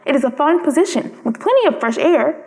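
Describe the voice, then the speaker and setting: A woman's voice: high voice, big pitch swings, fairly quick, a little mellow, long reverb tail. A woman voicing a young male swallow in a storybook, fussy, romantic and self-important, with precise, exclamatory phrasing. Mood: pleased, self-important.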